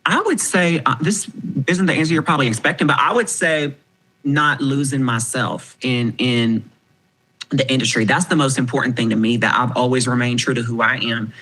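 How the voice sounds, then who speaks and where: A man in his twenties, a podcast guest talking casually, frank and animated, swearing freely. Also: medium pitch, moderate pace, rough recording. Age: 20s.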